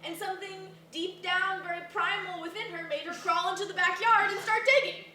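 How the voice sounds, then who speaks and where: A woman acts out a stubborn, frantic granddaughter in a comic drama reading. Her voice is high and bright, and she rambles and hesitates. It is recorded in a heavily echoing room. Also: steady tone, a little fast. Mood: earnest scheming. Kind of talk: staged reading of a dramatic comedy. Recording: echoey room from far off, noisy.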